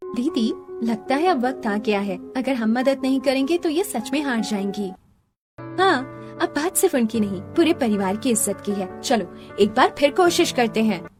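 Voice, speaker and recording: A woman voicing a humble, gentle young daughter-in-law in a Hindi cartoon family drama, polite and earnest. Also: a little high, lively intonation, normal speed, natural voice. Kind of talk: melodramatic Hindi cartoon story dialogue. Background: music.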